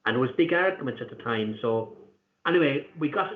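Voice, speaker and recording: A man with an Irish accent answering interview questions in an earnest, deliberate way, pausing often with fillers. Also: medium pitch, lively intonation, slight background noise. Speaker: interview guest, activist. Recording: tinny echoey call line.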